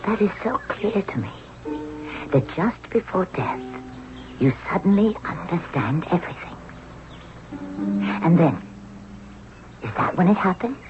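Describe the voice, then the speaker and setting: Nefertiti, proud low-voiced young noblewoman. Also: expressive, unhurried, long pauses. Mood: curious. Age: young adult. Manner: theatrical, probing. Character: proud, inquisitive young noblewoman of ancient Egypt. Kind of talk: 1970s radio drama acting. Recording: muffled old radio recording with music.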